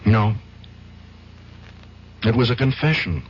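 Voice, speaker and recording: Troubled defence lawyer, 1970s radio drama: agitated, halting, animated pitch, on a dull, hissy old broadcast. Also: male voice. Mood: uneasy, earnest.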